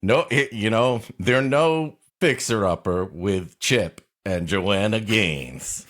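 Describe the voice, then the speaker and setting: A low-voiced man, slow and wry. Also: lively intonation, fairly bright tone. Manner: wry, riffing. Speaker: comedy podcast co-host. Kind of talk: casual comic commentary and banter.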